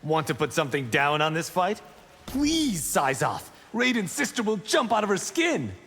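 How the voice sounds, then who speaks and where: A self-assured, teasing young warrior monk from a video game. His voice sits fairly high and bounces around, ringing with long reverb over light noise. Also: huge pitch swings, normal speed, fairly bright tone, heavily acted. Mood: boastful, teasing. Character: boastful, playful young Shaolin monk fighter. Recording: reverberant game arena, noisy background.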